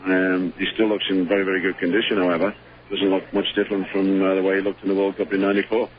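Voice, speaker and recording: Hoarse man, flat low voice. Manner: halting, many fillers. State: hoarse, losing his voice. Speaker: head coach calling in. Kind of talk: post-match phone interview. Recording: dull phone line with faint hum.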